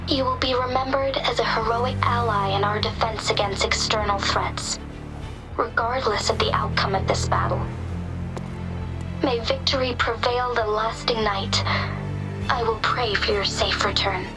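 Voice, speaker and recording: A woman playing a composed, earnest city official in a video game, speaking formally and with measured solemnity. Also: a little high, fairly even intonation, over music, natural voice, low-quality recording. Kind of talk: English video game voice acting.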